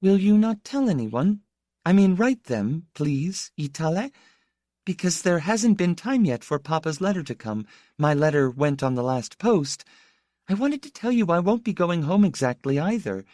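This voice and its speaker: A hesitant young lady from an audiobook, voiced by a man, pitched high and recorded dry. The tone is thin, sweet and polite, with soft, careful calm. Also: normal speed, no room sound. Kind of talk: audiobook character dialogue.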